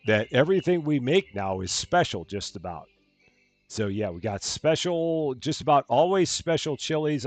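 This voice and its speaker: A man with a calm, soothing voice, casually talking through a game on a live stream and thinking aloud as he plays. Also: mid-range voice. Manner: soft-spoken, rambling, thinking aloud.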